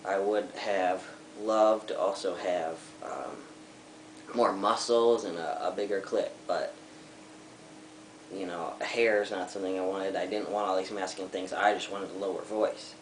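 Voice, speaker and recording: A young man telling his personal story to a webcam at home, candid and reflective, pausing often with ums. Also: low-pitched, fairly even intonation, reverberant, a little hiss or hum, rough recording. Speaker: vlogger.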